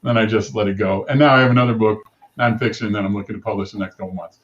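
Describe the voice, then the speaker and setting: A man hosting an interview show, chatty with quick asides. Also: lowish voice, steady tone. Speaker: host. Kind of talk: casual interview chat. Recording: dull lo-fi call audio.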